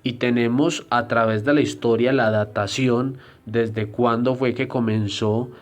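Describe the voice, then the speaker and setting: Man teaching, slow and hesitant. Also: mid-range voice, fairly even intonation. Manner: deliberate, explanatory, frequent hesitation fillers. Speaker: Bible teacher. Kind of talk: church history lecture.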